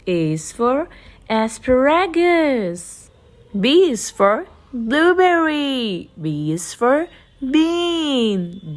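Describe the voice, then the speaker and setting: A woman reading aloud for preschool children in a deliberate way, pausing between short phrases. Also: a little high.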